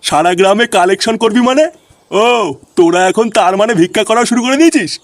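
A man's voice: high voice, fast talker, background noise, natural voice. A man voicing a bossy, bad-tempered village father in a comedy cartoon, scolding and abusive. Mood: bossy, dismissive.

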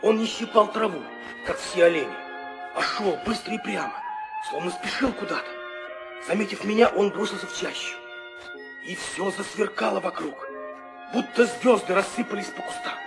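An actor playing an earnest young hunter prince in an old audio drama, telling things with vivid wonder. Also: male voice, high voice, normal speed, creaky, music in the background. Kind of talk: 1960 theatrical audio drama acting.

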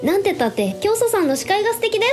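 A woman playing a flattering young pop idol in a radio drama, sweet, polite and admiring. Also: very high-pitched, racing, music in the background.

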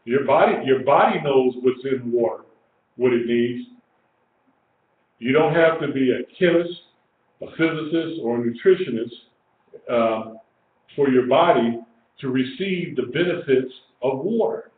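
A man's voice: mid-range voice, unhurried. A man in his sixties giving an informal religious teaching, emphatic and repeating phrases for weight. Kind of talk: informal sermon, teaching to a small group. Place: small indoor room. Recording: echoey, tinny lo-fi webcam stream with hiss.

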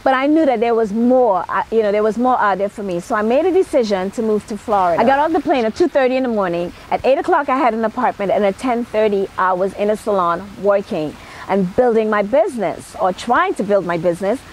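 A woman's voice: medium pitch, lively intonation, quick pace, darkish tone, slight background noise, low-quality recording. A middle-aged woman with a Trinidadian accent giving an emphatic, motivational personal talk to camera outdoors. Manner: emphatic, punctuated with "okay". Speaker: celebrity hairstylist and makeup artist. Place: outdoor patio at night.

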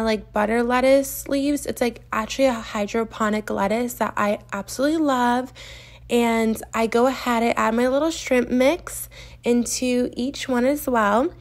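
Chatty young woman with a high voice. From US East Coast. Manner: chatty and gushing, heavy use of "like". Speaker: vlogger. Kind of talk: casual meal prep voice-over. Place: home kitchen.

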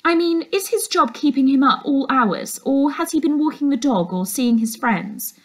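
Nosy, sugary housekeeper in a high voice, put on by a woman. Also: expressive, normal speed, fairly bright tone. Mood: feigned concern, prying. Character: nosy, falsely sweet middle-aged housekeeper prying for gossip.

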